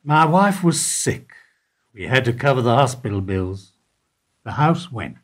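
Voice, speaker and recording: An actor playing a weary bank security guard who gives dry, evasive answers in a radio play. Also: male voice, mid-range voice, big pitch swings, a little slow. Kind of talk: amateur radio play acting.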